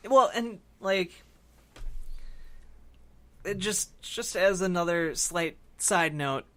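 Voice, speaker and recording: A man with a fairly high, very bright voice talks casually as a podcast co-host. He discusses film in a halting, wandering way, feeling out his points and hedging as he goes.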